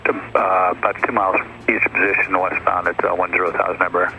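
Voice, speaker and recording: A man's voice: sits low, little pitch movement, fast, sharp tone, rough recording. A man working as an air traffic controller, giving clipped instructions over aviation radio.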